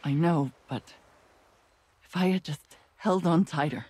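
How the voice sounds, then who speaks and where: A man's voice: a little high, measured pace, darkish tone, husky, no echo. An actor playing a guilt-ridden but resolute young male adventurer in a video game. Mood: regretful. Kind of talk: video game dialogue acting.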